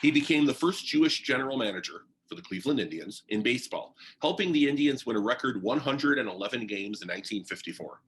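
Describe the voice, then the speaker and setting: A man's voice: mid-range voice, animated, fast talker, hardly any pauses, a bit bright, a little hiss or hum, rough recording. A man reading a biography aloud as narration, in the style of a book for young listeners.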